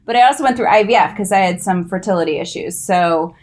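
A woman's voice: mid-range voice, fast talker, darkish tone. A woman in her mid-forties co-hosting a podcast, joining in casual chat with wry, teasing remarks. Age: 40s. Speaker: podcast co-host.

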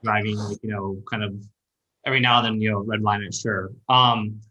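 A man's voice: on the low side, steady tone, fairly quick, bright, forceful tone, roomy sound, muffled recording, slight background noise. A man in his thirties giving casual advice in a chat, rambling and thinking aloud as he goes. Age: 30s. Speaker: call-in show host and copywriting mentor.

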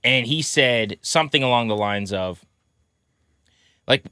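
Sports podcast host guy, punchy bright voice, medium pitch, talks emphatic and chatty, dry studio-like sound. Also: lively intonation, measured pace, clean tone, no echo. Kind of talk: conversational sports commentary.

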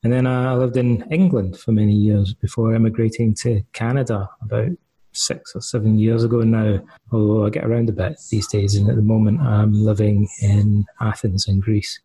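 Scottish man, middle-aged, husky gravelly voice, mellow tone, unhurried interview answers. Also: on the low side, flat delivery, low-quality recording. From Scotland. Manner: thoughtful, hesitant with fillers and restarts. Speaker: guest.

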